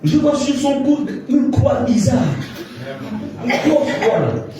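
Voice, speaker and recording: A man's voice: extremely high pitch, sing-song, takes it slowly, background noise. A man in his fifties preaching a sermon, leading the congregation in call-and-response with emphatic repetitions. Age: 50s. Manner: emphatic, repeats phrases for the congregation to echo. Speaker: pastor. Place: church hall. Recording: echoey church hall, distant mic.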